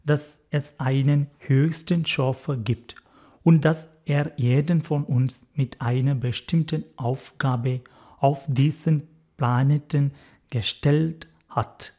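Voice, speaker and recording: Slow, halting Indian-accented man. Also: mid-range voice, steady tone, mellow. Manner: careful, halting German with fillers, recently learned. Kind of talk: interview answers.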